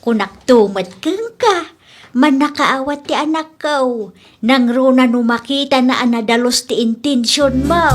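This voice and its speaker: A woman playing an older widowed mother who speaks frankly and reassuringly about romance in a radio drama. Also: sits high. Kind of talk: Ilocano comedy radio drama acting.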